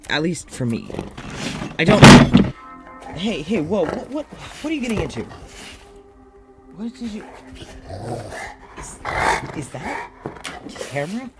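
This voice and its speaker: A man playing an anxious, self-doubting scientist in a confessional audio drama monologue, speaking hesitantly with sighs and self-questioning. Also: medium pitch. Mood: weary, self-deprecating, then alarmed, indignant. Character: anxious, self-doubting male scientist who studies creatures, secretly undying. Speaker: lead voice actor in a fiction podcast. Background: music.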